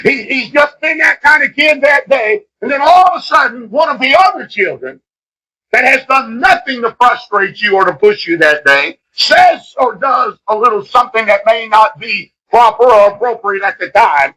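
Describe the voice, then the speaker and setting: Male preacher, middle-aged, high and forceful voice, emphatic fundamentalist sermon delivery, old cassette sound. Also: expressive, moderate pace, poor audio. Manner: emphatic, repeats phrases, swings from hushed gravity to shouting.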